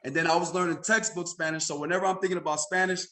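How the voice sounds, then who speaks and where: A man's voice: on the high side, fairly even intonation, racing, bright, forceful tone. An American man in his thirties from Chicago, telling personal stories casually as an interview guest, often checking in with "right?". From Chicago, United States. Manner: conversational, frequent "you know" and "right?" tags. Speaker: podcast guest, insurance agency senior executive.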